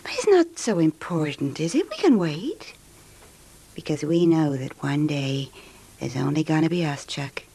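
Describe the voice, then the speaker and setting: An actress playing a scheming, unfaithful wife, tender and intimate, in old-time radio melodrama style. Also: female voice, low-pitched, animated, measured pace, dark tone, husky, exaggerated voice, rough recording. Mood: cold, intimate. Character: scheming adulterous wife, tender, yearning and coolly calculating.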